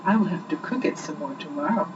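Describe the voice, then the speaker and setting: A woman voicing a sly, hungry young man in a storybook with measured, coaxing speech, on a noisy home recording. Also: deep voice, distant mic, lo-fi sound, lightly acted. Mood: satisfied.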